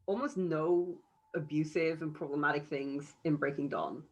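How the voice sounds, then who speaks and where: A woman's voice: sits low, fairly even intonation, moderate pace, low-quality recording. A woman chatting casually as a participant in an online book discussion. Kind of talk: casual chat. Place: video call.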